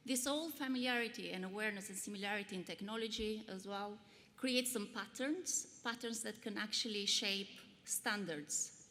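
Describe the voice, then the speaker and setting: A woman in her forties with an Albanian accent, giving an earnest, measured conference pitch with frequent fillers. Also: pushed and bright. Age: 40s. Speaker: pitch presenter. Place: large conference hall. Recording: long hall reverb, faint noise.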